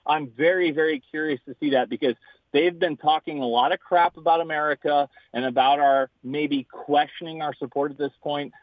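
A guest calls in, talking bluntly and joking with swagger. His voice sits a touch high and comes through a thin, tinny phone line. The sound is dry and close. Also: fairly even intonation, normal speed, no room sound. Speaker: guest, podcast host. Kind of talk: casual opinionated chat.

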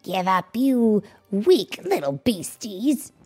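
A woman's voice: medium pitch, big pitch swings, slow pace, mellow, slightly rough, soft background music, full character voice. A woman storyteller voicing a maniacal male mad-scientist villain in a theatrical, sneering way. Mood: gloating.

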